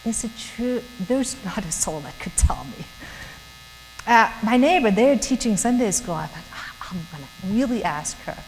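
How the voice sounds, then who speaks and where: A woman's voice: mid-range voice, lively intonation, moderate pace, a little mellow. German-accented woman speaking in a hall. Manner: earnest, chatty, laughs often. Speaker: guest speaker. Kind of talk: church talk to young professionals. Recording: hall reverb with faint mains hum.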